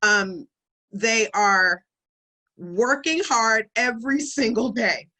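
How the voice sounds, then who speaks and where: A woman's voice: on the high side, takes it slowly, pushed and bright. A woman hosting an online event, enthusiastic and chatty as she introduces the speakers over a video call. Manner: enthusiastic, chatty, laughs easily. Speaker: event host.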